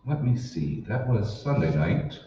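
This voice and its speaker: Man acting a bewildered everyman, low, lively, echoey. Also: a little slow. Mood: skeptical, startled. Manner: questioning, puzzled. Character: bewildered, skeptical everyman husband. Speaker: amateur stage actor. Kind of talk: old-time radio drama acting. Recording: roomy, distant, dull hall recording with hiss.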